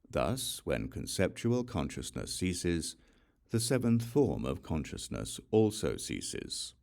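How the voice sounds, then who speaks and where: A man narrating scripture from a script in a measured, solemn manner. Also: extremely low pitch, vocal fry, a little mellow. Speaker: audiobook narrator.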